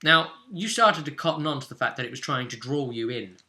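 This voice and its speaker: A man working as game master, casually recapping tabletop game play in an animated, joking way and acting out moments as he goes. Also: mid-range voice, a little fast.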